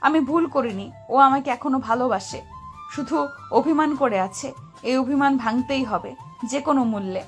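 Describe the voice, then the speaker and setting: Casting: woman to play young wealthy lady boss in love, high-pitched, pleading and strong-willed, audio romance with background music. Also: moderate pace, lightly acted. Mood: hurt, resolving. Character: heartbroken but determined young rich woman boss in love. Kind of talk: romantic audio story acting. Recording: music behind the voice.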